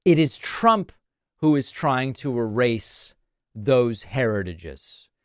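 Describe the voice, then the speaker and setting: Pria komentator politik yang tegas dan sinis, dari Argentina, berbahasa ibu Spanyol, suara kering studio. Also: male voice, medium pitch, very animated, takes it slowly, clean tone, no echo. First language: Spanish. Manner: emphatic, scornful, reads out posts in a mocking tone. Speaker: political commentary host. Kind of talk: political commentary monologue. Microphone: studio broadcast mic.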